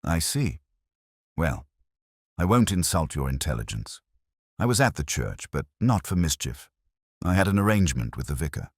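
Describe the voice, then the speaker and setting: A man's voice: rumbling low, sing-song, normal speed, pauses often, no room sound, clean studio sound, full character voice. A man voicing a bland, evasive retired solicitor in a detective story, formal, fussy and resigned. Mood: weary resignation.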